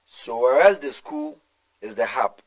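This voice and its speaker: A man's voice: medium pitch, wildly swooping pitch, unhurried, rough recording. A middle-aged Ghanaian man answering in an interview, talking emphatically and mixing in Twi, with stumbles and repeated words. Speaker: guest.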